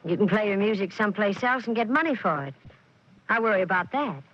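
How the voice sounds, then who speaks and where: A woman's voice: medium pitch, lively intonation, brisk, fairly bright tone, a little hiss or hum, natural voice. A woman playing a jealous, pleading lover. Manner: coaxing, insistent, sultry. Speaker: singer and actress. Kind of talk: 1940s radio drama acting. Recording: dull old radio broadcast. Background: music.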